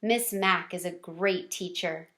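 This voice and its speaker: A woman's voice: fairly low pitch, slow pace, fairly bright tone, pitched below the performer's own voice. A woman voicing an adventurous, earnest little male hamster in a children's storybook, with an emphatic manner. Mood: friendly, explaining. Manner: emphatic, repeats words for effect. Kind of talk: children's chapter book narration in character.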